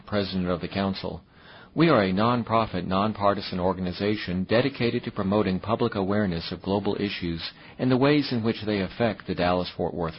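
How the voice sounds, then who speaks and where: A man reading a scripted introduction in a measured, formal way. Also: low voice, breathy edge, highs cut off. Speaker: podcast sponsor announcer.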